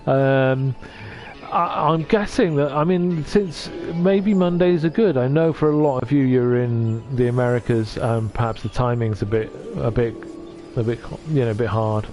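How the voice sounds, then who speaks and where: A man from North Wales chats casually with his viewers from the sofa at home. His voice sits in an ordinary middle pitch, and he talks gently with lots of pauses and restarts. Faint game music plays quietly behind him. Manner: gentle, hesitant, with many restarts. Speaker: streamer. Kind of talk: casual chat reading out viewer comments.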